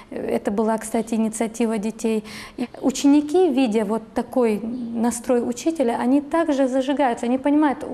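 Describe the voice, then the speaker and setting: Middle-aged woman teacher, interviewed; thoughtful and hesitant, fairly high, warm tone. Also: vocal fry. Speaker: teacher of Russian literature.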